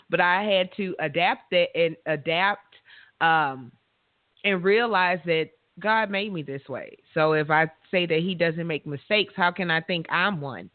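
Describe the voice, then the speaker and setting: A woman with a loud voice, hosting a radio talk show in a frank, self-assured way. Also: lowish voice, dry recording. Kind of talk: casual interview chat. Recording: dry, tinny phone-line sound.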